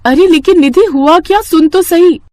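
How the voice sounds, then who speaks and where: A woman's voice: extremely high pitch, moderate pace, warm tone, slight background noise, pitched above the performer's own voice. A woman voicing a caring, doting elder sister in a story cartoon, affectionate and concerned in manner. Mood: alarmed. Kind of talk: Hindi moral-story cartoon dialogue.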